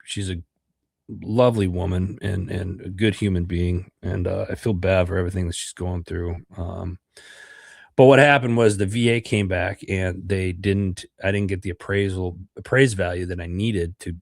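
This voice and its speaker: A man's voice: sits low, steady tone, moderate pace, vocal fry, no echo, studio quality. A middle-aged American man with a congested voice, hosting a podcast livestream in a rambling chat with many fillers and repeated words. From United States. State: congested from a cold. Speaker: podcast host.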